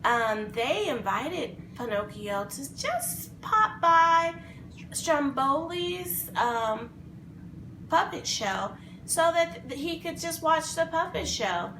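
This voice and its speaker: A woman storyteller with a high, bright voice retells a children's fairy tale. She is expressive and dramatic but takes her time. The room echoes around her, with faint noise behind. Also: takes it slowly. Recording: roomy echo, faint background noise.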